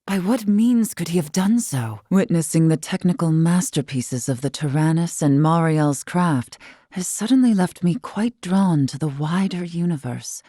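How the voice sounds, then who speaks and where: A woman's voice: lowish voice, lively intonation, measured pace, mellow, no room sound, studio quality. An actress playing a composed, regal woman who leads a tribe in a video game, teasing at times, with formal, archaic phrasing. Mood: curious. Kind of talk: scripted video game voice acting.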